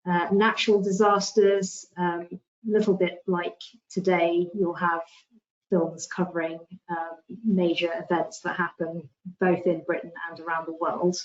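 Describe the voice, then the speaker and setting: A woman's voice: lowish voice, flat delivery, unhurried. A woman giving an online slide presentation in a hesitant, informal way over lo-fi call audio.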